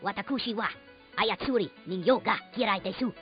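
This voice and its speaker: A woman's voice: mid-range voice, a little fast, soft background music, natural voice. A woman playing a language teacher who recites example phrases in a demonstrative way for a comedy sketch. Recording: dull lo-fi playback.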